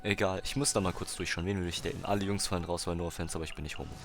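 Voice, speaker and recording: A man's voice: low-pitched, fairly even intonation, racing. A seventeen-year-old native German man chatting casually on a game stream, slangy and thinking aloud as he goes. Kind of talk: casual live-stream chat. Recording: loud music under stream voice. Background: loud game music.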